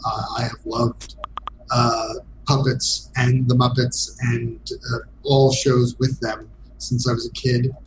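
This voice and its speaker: Halting man guest, noticeable background noise. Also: medium pitch, flat delivery. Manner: hesitant, halting delivery with frequent pauses. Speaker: interview guest, game designer. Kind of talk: casual interview conversation.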